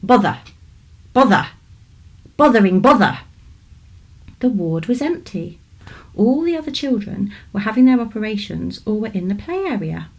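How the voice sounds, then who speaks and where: A woman with a British accent reading a children's story aloud in an expressive storytelling manner. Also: medium pitch, normal speed, vocal fry, low-quality recording. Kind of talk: scripted children's story narration.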